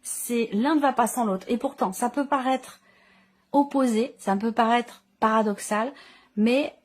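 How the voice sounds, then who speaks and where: A woman's voice: a little high, a little mellow. A woman giving an unscripted intuitive tarot reading, reflective and explanatory, often rephrasing her points. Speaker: tarot reader, channel host.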